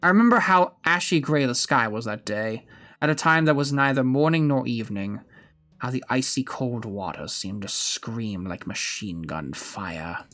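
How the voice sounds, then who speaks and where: Man putting on a theatrical old gentleman villain, swooping pitch. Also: expressive, normal speed, soft background music, lightly acted. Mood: musing, theatrical. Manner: pompous, theatrical storytelling. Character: aged gentleman villain, gleefully wicked, sentimental, theatrical. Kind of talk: dramatic reading of game story dialogue.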